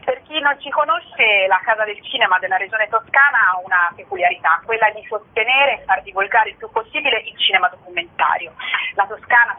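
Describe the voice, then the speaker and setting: A woman explaining things as an interviewed cinema programme director. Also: mid-range voice. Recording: noisy, narrow phone-line sound on radio.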